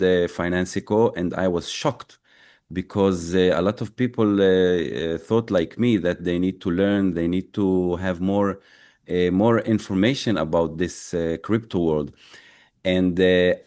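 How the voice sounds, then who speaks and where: A man with a low voice gives interview answers in English as a native Arabic speaker. He speaks in a halting way, often feeling for the next word as he tells his own story. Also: darkish tone. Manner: halting, searching for words. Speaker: interviewed crypto educator and founder.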